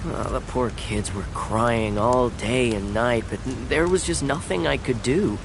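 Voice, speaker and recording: A man's voice: on the low side, noisy background. Man playing a humble, folksy island fisherman. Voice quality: folksy and rambling, with hesitant fillers. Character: grateful island fisherman, humble and warm-hearted. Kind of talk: video game voice acting. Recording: game music underneath.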